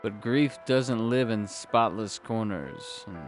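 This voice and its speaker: An actor playing a world-weary, hard-boiled private detective, narrating a noir audio drama in a wry, brooding, gravelly voice. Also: male voice, fairly low pitch, slow pace. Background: music.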